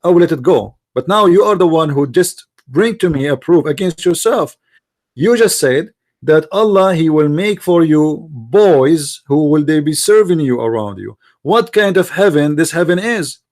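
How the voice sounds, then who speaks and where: A man hosting a heated religious debate in English, which is not his first language; Arabic is. He talks in a fairly high voice. He presses hard, repeats his words and keeps firing questions. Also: lively intonation, moderate pace, darkish tone. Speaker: debate host.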